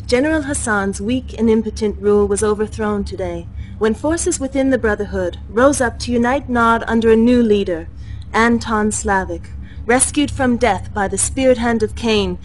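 A woman's voice: on the high side, moderate pace, slight background noise, lightly acted, low-quality recording. A woman playing a poised propaganda anchor. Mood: formal. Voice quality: crisp, formal newsreader delivery. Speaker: news anchor. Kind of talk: video game cutscene acting.

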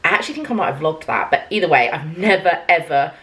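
Chatty woman showing her shopping. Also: quick pace. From England. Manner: chatty and upbeat, lively swings in pitch. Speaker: vlogger. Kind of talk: casual haul video talk to camera. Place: kitchen at home, indoors.

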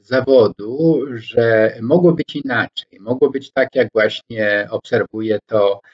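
A man in his sixties from Poland, giving a reflective lecture to camera from his home study, pausing often with hesitation sounds as he picks his words. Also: mid-range voice, slightly rough. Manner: slow and deliberate, frequent hesitation fillers, gestures with both hands. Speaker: scholar commentator. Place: home study with bookshelves, indoors. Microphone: webcam or laptop microphone, head-and-shoulders framing. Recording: dull lo-fi webcam sound.

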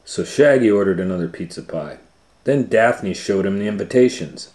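A man in his forties reading a children's story aloud in a casual, informal, unhurried way. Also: fairly low pitch, lively intonation, a little mellow, reverberant. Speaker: storytime host and reader. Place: small plain room indoors.

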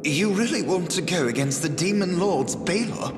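A man's voice: high-pitched, lively intonation, moderate pace, reverberant, big-room sound, background noise. A man voicing a cheeky, knowing talking frog, a cursed man, in a video game. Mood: dry.

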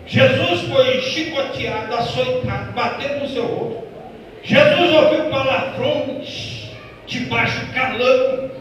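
A Brazilian Portuguese pastor with a fairly high voice preaches in a church, his voice rolling around a big echoing hall. He is picked up from across the room, with ambient noise underneath. He swells from hushed, quick asides into loud, slow, stretched-out declarations. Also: muffled recording. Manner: preaching with swells from quiet to loud, drawn-out emphatic phrases; addresses listeners as "irmão" and asks them questions. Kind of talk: Pentecostal sermon. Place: church sanctuary. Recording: echoey church hall from a distance.

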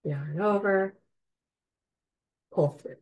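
Slightly raspy woman teaching crochet. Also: medium pitch, extremely slow, a little mellow. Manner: patient, chatty, counts stitches aloud. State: hoarse from a cold. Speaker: crochet instructor. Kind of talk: step-by-step craft tutorial. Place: indoors, online class.

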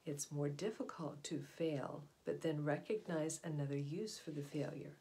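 A middle-aged woman reads a children's nonfiction book aloud with deliberate, expressive emphasis and explanatory asides, recorded indoors on a device at about arm's length. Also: sits low, poor audio. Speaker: read-aloud storyteller. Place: small indoor room at home, in front of wooden doors. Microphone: camera or device mic at about arm's length.

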